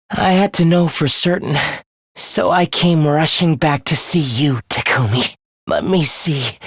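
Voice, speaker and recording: A man's voice: fairly high pitch, no echo, clean studio sound. An actor voicing an obsessive young man in a video game, devoted to his comrade as to a god, with theatrical, intense, florid delivery. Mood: hushed fascination. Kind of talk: English video game voice acting, dramatic monologue.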